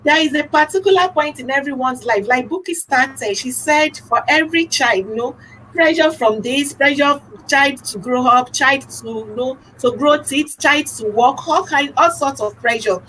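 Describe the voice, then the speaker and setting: A young woman with a Nigerian accent speaks casually as a talk show guest. Her voice sits a little high, and she often circles back to repeat a phrase for emphasis. There is faint noise behind her. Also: moderate pace, sharp tone, poor audio.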